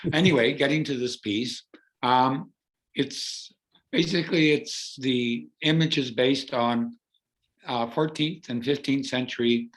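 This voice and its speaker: A hoarse man from Canada with laryngitis, an artist earnestly explaining his artwork over a video call. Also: mid-range voice, poor audio.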